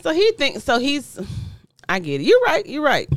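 A woman's voice: high voice, wildly swooping pitch, moderate pace, no room sound. A middle-aged woman chatting casually as a podcast co-host, teasing and exasperated in a slangy way. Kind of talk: casual banter with partner. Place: indoors at home.

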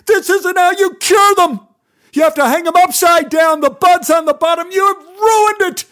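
A man putting on an unhinged, threatening neighbor who screams high and slow. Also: very animated, heavily acted. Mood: furious screaming. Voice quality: screaming, shouting hoarsely. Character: volatile, menacing adult male neighbor.

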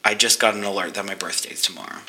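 A man's voice: fairly low pitch, steady tone, fast talker, bright, forceful tone. A man talking about his business in a casual, enthusiastic, playful way. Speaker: podcast guest, photo booth business owner.

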